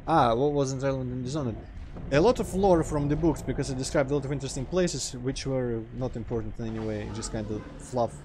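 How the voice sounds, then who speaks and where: A man's voice: medium pitch, brisk, noisy background. Dry-humored Russian man narrating gameplay. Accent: mild Russian. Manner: dry, sarcastic asides. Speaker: gameplay commentator. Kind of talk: casual let's play commentary.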